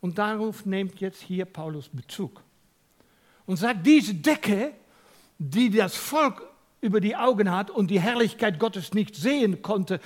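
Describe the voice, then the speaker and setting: Dutch-accented male preacher, high and lively voice, emphatic, questions the congregation. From Netherlands. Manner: swings from loud to near whisper. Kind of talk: sermon.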